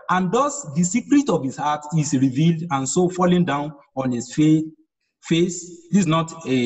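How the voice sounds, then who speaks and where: A man's voice: a little high, darkish tone, husky, long reverb tail, rough recording. A man whose first language is Yoruba teaches an online Bible study, explaining verse by verse and asking rhetorical questions.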